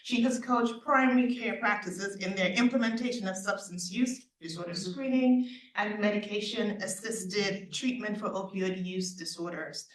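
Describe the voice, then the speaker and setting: A woman's voice: medium pitch, normal speed, a little hiss or hum. Woman reading an intro bio, kinda formal, far from the mic, roomy echo. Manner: reads formally, with asides. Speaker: event host introducing the moderator. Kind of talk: reading a speaker bio aloud. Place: large echoey lecture room. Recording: distant echoey hall audio.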